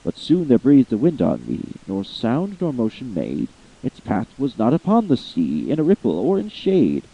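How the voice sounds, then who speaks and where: A man giving a slow, theatrical reading of a long narrative poem, swelling with feeling at the high points. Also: wildly swooping pitch, vocal fry, husky, dull audio. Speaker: amateur voice actor reading poetry. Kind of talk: dramatic poetry reading.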